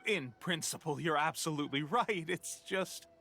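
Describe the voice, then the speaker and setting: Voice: mid-range voice, normal speed, bright, forceful tone, faint music behind. A voice actor playing a flustered young male editor who stammers anxiously. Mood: nervous.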